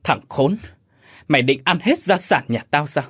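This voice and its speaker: A man's voice: fairly high pitch, animated, moderate pace, a bit bright, husky, no echo, clean studio sound. A man voicing a distraught middle-aged mother who pleads shrilly in an audio story. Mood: furious.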